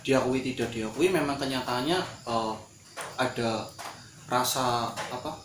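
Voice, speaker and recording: A man's voice: mid-range voice, unhurried, a bit bright, far from the mic. A man co-hosts a loose, joking chat. He asks questions and tosses in fillers. He sits a little back from the mic in an echoey room, with a faint hiss behind him. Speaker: podcast co-host. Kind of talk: casual chat in Indonesian with Javanese phrases.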